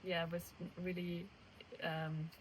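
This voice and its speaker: A woman in her twenties from Belgium, answering in a soft-spoken, measured way with light laughs. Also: lowish voice, level tone, slight background noise, poor audio. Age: 20s. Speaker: interviewee hiker.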